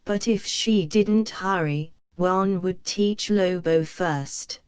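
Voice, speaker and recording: Slow, clear woman reading a storybook. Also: on the low side, dry recording. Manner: slow, clear read-aloud for children. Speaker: narrator. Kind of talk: scripted storybook narration.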